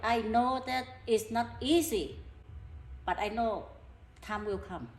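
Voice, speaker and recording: Earnest Vietnamese-accented woman healer. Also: on the high side. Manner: broken, halting English, earnest, laughs often. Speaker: energy healer. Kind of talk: casual interview talk. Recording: echoey room with background noise.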